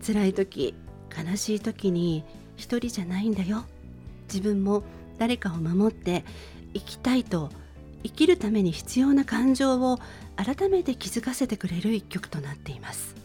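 A middle-aged woman hosting a radio show, talking in a soft-spoken way as she introduces a song. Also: mid-range voice, sing-song, measured pace, faint music behind.

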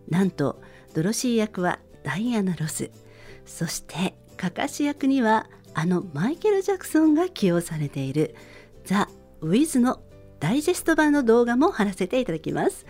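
Lady doing a gentle bedtime radio show, warm relaxed tone, pitch moves around a lot, bit of music under her. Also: normal speed. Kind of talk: scripted solo radio narration and talk.

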